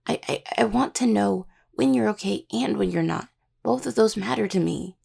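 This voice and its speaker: A woman's voice: mid-range voice, moderate pace, creaky, a little mellow, slightly rough. A woman acting in an audio roleplay as an anxious young student necromancer and devoted partner, stammering and earnest. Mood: worried, hurt.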